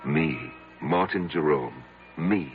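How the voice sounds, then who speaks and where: An actor playing an insecure, besotted husband who confesses his story haltingly in an old-time radio drama, full of brooding and self-loathing. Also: very deep voice. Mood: wistful. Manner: halting, brooding, dramatic delivery with repeated words. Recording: dull lo-fi radio recording with music.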